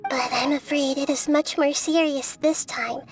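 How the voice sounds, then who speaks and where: A woman voicing a small, wise, gentle girl deity in a video game, speaking in a measured, thoughtful, formal way. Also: high-pitched, fairly even intonation, over music.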